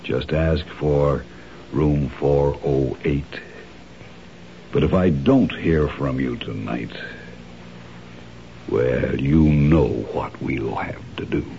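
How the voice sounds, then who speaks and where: A suave blackmailing thief who speaks slowly and coolly, his voice very deep and gravelly. It sounds like an old radio play with dull, muffled sound. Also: dark tone, a little hiss or hum, heavily acted. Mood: quietly threatening. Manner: smooth, unhurried, coolly persuasive. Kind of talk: 1970s radio drama acting.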